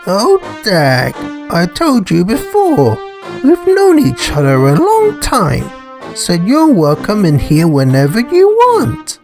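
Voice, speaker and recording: Easygoing, teasing female kitchen worker, voiced by a man, pitched well up, visual novel. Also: wildly swooping pitch, takes it slowly, creaky, over music, exaggerated voice. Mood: playful, friendly. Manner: playful, easygoing. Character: friendly, teasing woman who works in a kitchen.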